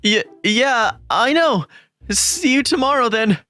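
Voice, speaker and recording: Guy doing an earnest lovestruck high school boy telling his manga story, measured, bit of music behind. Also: animated, sharp tone, full character voice. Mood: nervous, polite. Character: lovestruck, anxious, earnest teenage male high school student narrator. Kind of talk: scripted first-person manga story narration. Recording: background music under the voice.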